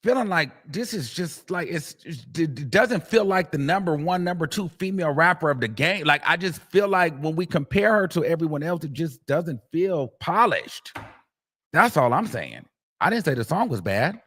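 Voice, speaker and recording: A man's voice: fairly high pitch, lively intonation, moderate pace, a bit bright. A man hosting a live stream, giving emphatic opinions in casual chat. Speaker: host.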